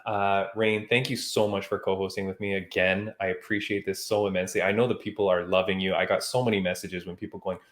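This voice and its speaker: A man from Canada hosting a podcast, his voice sitting a little low. He talks in an easygoing, rambling way, full of "like" and "I mean". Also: fast, hardly any pauses. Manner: relaxed, chatty, frequent "like" and "I mean". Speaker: podcast host. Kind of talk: casual podcast chat.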